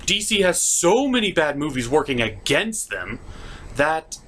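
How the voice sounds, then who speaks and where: Opinionated male reviewer with lively intonation. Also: fairly high pitch, measured pace, slight background noise. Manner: opinionated, sardonic. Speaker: puppet show reviewer. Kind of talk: comedic scripted film and cartoon critique.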